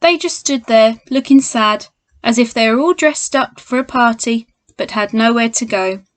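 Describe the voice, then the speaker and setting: A woman with a slightly high voice reads a storybook to young children. She is expressive and speaks straight to her listeners, like a primary school teacher. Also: normal speed, dry recording. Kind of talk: children's storybook read-aloud.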